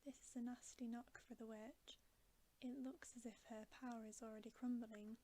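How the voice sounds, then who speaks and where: A woman voicing an excitable, kindly male talking beaver from a storybook in a breathy, eager half-whisper. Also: a little high, normal speed, close to an everyday voice. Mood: delighted, triumphant. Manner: eager, exclaiming.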